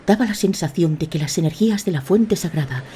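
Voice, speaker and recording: A woman's voice: fairly low pitch, animated, fairly quick, dark tone, a little hiss or hum. A woman giving scripted, dramatic narration of a fantasy tale. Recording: voice over a prominent music bed.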